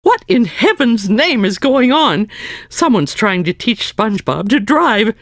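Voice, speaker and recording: Anxious middle-aged schoolmarm fish. High, dramatic voice, unhurried, cartoon game. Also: female voice, big pitch swings, dry recording. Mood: alarmed. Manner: fretful, dramatic. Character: anxious, melodramatic middle-aged female pufferfish driving teacher. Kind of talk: cartoon video game acting.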